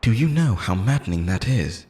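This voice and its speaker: Guy doing a yandere immortal lover, lonely n possessive, theatrical asmr roleplay, pitch all over the place, pleading then menacing. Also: sing-song, moderate pace, warm tone. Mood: bitter, anguished. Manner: theatrical, pleading, swinging between tender and menacing. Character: obsessive lovesick immortal man, lonely, possessive, unhinged.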